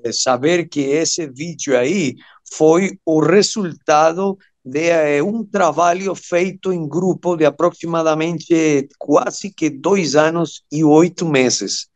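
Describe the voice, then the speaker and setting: A man of fifty-one, a native Spanish speaker with a Venezuelan accent, telling his story as a guest in a halting manner, searching for words. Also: fairly high pitch. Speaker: guest, surgeon and amateur radio operator. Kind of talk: personal storytelling in an interview.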